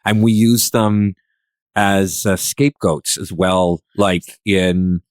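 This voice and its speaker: A man speaking in a casual, conversational podcast discussion, with a halting, rambling way of working through his thoughts. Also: deep voice, fairly even intonation, no echo, studio quality. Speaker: podcast participant.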